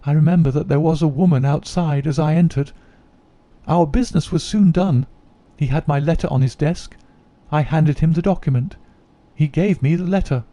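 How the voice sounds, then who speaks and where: A troubled young noblewoman, read by a man confides her secret earnestly. The voice is slightly high and mellow. It is recorded close and dry. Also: moderate pace, dry recording, close to an everyday voice. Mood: remorseful. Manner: earnest, confessional.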